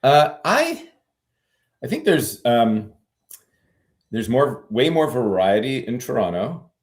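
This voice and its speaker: A man's voice: mid-range voice, very animated, slow pace. A man being interviewed about his long legal career, talking in a reflective, meandering way with many fillers and restarts. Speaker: guest, retired immigration lawyer. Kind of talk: conversational interview.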